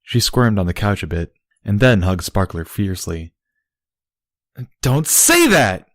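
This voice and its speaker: A man's voice: deep voice, normal speed, dry recording, studio quality. A man reading a scripted fantasy story as its narrator. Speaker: audiobook narrator.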